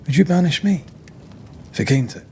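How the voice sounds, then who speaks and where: An actor playing a tender-hearted Scottish ghost-hunter in a video game, earnest and expressive. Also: male voice, on the high side, a little fast, a little hiss or hum. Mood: uneasy. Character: tender-hearted 17th-century male ghost-hunter, devoted lover. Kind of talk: dramatic game cutscene acting.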